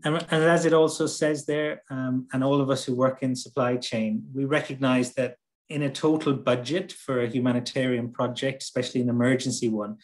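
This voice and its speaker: A man giving a conference presentation over a video call, speaking conversationally with many fillers and restarts. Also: mid-range voice. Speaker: presenter from a humanitarian logistics nonprofit. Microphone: computer mic on a video call.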